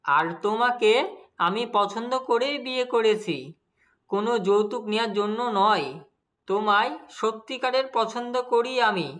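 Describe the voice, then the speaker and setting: A man's voice: high voice, moderate pace, fairly bright tone. A man voicing a greedy, two-faced young husband in a cartoon fairy tale, persuasive and explanatory. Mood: soothing, coaxing. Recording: music under the voice. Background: background music.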